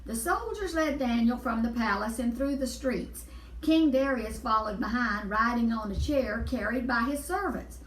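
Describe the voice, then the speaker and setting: A woman's voice: fairly high pitch, moderate pace, a little hiss or hum. A woman storyteller reading a Bible story aloud for children, explaining and repeating words for emphasis. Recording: echoey room, distant mic.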